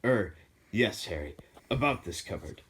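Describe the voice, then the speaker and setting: A man voicing a short-tempered middle-aged uncle who talks gruffly and blusters. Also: mid-range voice, animated, normal speed, a little mellow, slight background noise, pitched above the performer's own voice, close to an everyday voice. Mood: blustering.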